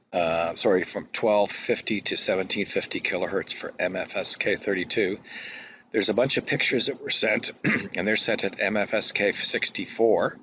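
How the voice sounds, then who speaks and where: Low-pitched man, hesitant, dull lo-fi recording. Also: pushed and bright. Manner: hesitant, halting, technical. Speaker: radio hobbyist presenter. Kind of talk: casual screen-capture demonstration. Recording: dull lo-fi audio, faint noise.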